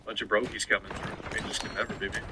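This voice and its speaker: A man's voice: fairly low pitch, little pitch movement, very fast, very noisy. A man livestreaming an emphatic, repetitive, combative rant to his viewers about video game news. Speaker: live stream host. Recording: loud gunfire and clicks behind voice.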